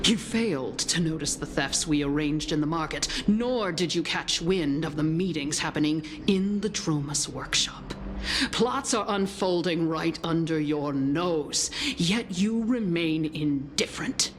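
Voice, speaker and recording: An actress playing a scheming, villainous noblewoman in a video game, taunting and theatrical, with drawn-out phrasing. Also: low-pitched, noisy background. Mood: mocking, gloating. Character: scheming, mocking villainous noblewoman, gloating and venomous. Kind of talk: dramatic video game voice acting.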